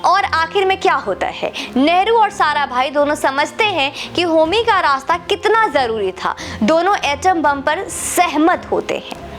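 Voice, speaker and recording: A woman's voice: high voice, animated, unhurried, a bit bright, soft background music. An Indian woman delivering an opinionated political monologue to the viewer, combative and lecturing, mixing in English words. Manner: combative, lecturing, rhetorical questions; mixes English words and phrases into the talk. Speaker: political commentator.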